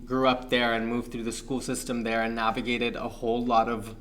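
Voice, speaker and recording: A young man from Pakistan, a guest on an interview show, talking in a reflective, measured way with frequent fillers. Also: medium pitch, completely flat delivery, a bit bright, slight background noise. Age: young adult. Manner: thoughtful, measured, many fillers.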